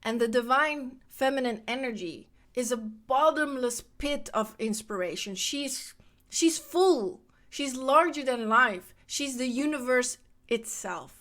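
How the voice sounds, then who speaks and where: Lady podcasting about spirituality, higher voice, lively and repeats herself a lot. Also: unhurried. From Netherlands. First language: Dutch. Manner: animated, repeats phrases for emphasis. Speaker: podcast host. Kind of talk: casual spiritual monologue.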